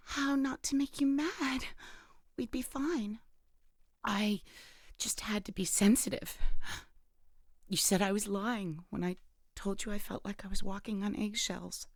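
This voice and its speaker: An actress playing a bitter, wounded imprisoned wife in an audio drama monologue, speaking in a halting, intimate, emotionally charged way with a creaky voice. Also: female voice, medium pitch, no room sound, studio quality. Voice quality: gravelly, creaky. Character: bitter, wounded imprisoned wife who killed her abusive husband.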